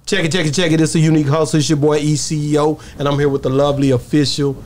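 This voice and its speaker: A man's voice: on the high side, level tone, fast talker, music in the background. A middle-aged man hosting a podcast in casual, slangy, hyped-up talk, close on a studio mic. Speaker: podcast host. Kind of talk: casual hype-filled interview chat. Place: small indoor podcast studio. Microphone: close podcast mic on stand. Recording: faint background noise.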